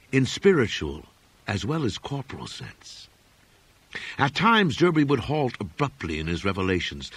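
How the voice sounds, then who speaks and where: A man narrating gothic horror fiction as an audiobook, in a measured, expressive literary reading. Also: medium pitch, breathy edge.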